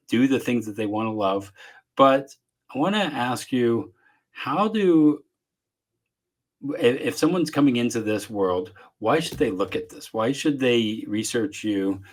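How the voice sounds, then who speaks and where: A man from Southeast Texas with a Texan accent, hosting a casual podcast interview from his home office, talking in a relaxed, rambling way with lots of fillers. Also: fairly low pitch. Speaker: podcast host.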